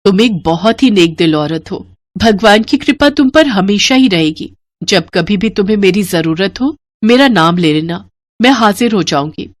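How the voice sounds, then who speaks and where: Gracious forest fairy for a moral storybook, played by a woman: mid-pitched, earnest, formal, solemn. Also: expressive, moderate pace, no echo, natural voice. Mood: benevolent. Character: gentle, grateful female forest fairy granting boons, stern with wrongdoers. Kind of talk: Hindi moral storybook acting.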